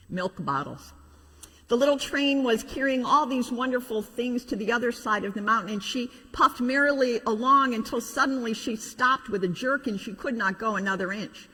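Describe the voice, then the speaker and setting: A middle-aged woman, a pastor telling a children's story in an animated way with informal asides. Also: on the high side, normal speed, husky. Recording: reverberant hall.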